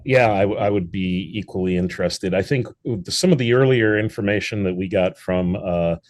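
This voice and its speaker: An American man with a fairly low voice talks as a guest in a conversational interview. He is articulate and reflective, often qualifying what he says. The sound is dry, with very little room around him. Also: moderate pace, darkish tone, no room sound. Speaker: interview guest, audio drama producer.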